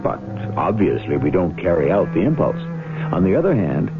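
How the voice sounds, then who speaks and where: A man hosting a radio mystery drama as an ominous, urbane storyteller, narrating in a deliberate, portentous manner. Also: low-pitched, sing-song. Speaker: host and narrator. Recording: muffled old radio broadcast with music.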